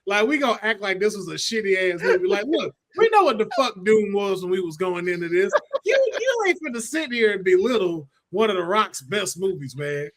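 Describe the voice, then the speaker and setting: A man with an American accent and a fairly high voice hosts a casual podcast. His talk is animated and full of slang and swearing, like banter among friends. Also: brisk, few pauses. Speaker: podcast host. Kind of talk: casual podcast chat about movies and games.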